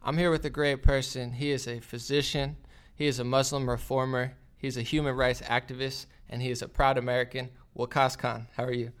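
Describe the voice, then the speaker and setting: A man's voice: mid-range voice, level tone, fairly quick, clean tone. A man hosting a podcast, talking in a casual, conversational interview style. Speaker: podcast host.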